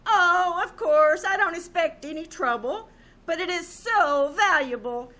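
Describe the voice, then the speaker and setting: Shrill, gushing society hostess. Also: female voice, a little slow, pushed and bright, full character voice. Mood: breezy, self-important. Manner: theatrical, effusive, coy. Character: gushing, flirtatious, vain wealthy society hostess. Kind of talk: old-time radio comedy acting on stage. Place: live small-town theater stage.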